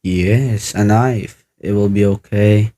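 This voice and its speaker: A man's voice: fairly low pitch, fairly even intonation, slow, dark tone. A man playing an unbothered, businesslike hired killer in a radio play, speaking in a matter-of-fact, reassuring way. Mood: cold, matter-of-fact.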